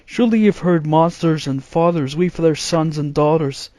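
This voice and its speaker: A man's voice: on the high side, moderate pace, warm tone, dry recording, lightly acted. A man voicing a resolute, brooding young idealist in a storybook, earnest and assertive.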